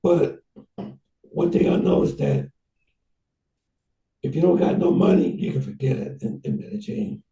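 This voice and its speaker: A man hosting a casual conversation, rambling and halting with many restarts. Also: on the high side, vocal fry, mellow, roomy sound, recorded from a distance, dull audio. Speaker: host.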